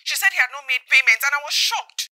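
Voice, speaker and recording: A woman's voice: high voice, quick pace, bright, forceful tone. A woman acting a distraught, angry mother with a Nigerian accent in a film drama, scolding and pleading. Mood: distressed, pleading. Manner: scolding, pleading, repeats questions.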